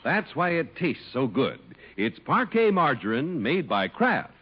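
A man's voice: on the high side, sing-song, measured pace, husky. A man announcing on mid-century radio, reading scripted copy and narration with an emphatic, polished delivery. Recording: dull vintage radio broadcast recording.

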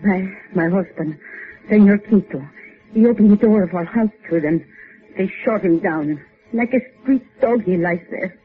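An actress playing a grief-stricken, frightened Cuban mother in a 1950s radio melodrama, speaking halting, formal English. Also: medium pitch, close to an everyday voice. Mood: shocked grief. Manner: slow, halting, formal English without contractions. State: distraught. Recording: narrow-band old 1950s radio, faint music.

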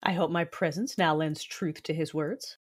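A woman playing a proud, defiant Sith lord who narrates her own story in a science fiction audio drama, intense and deliberate. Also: low voice, fairly even intonation.

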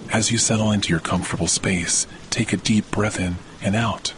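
A man hosting a guided sleep meditation, speaking in a slow, soothing way with long pauses. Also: on the low side, fairly even intonation, slight background noise, poor audio. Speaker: podcast host and meditation guide. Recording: loud rain and thunder behind voice. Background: rain and thunder sounds.

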